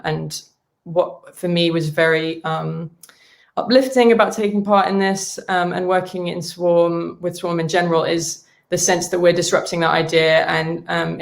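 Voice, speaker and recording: Flat, gravelly low-voiced woman. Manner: thoughtful, halting, with many ums. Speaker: panelist, mutual aid volunteer. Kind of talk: panel introduction.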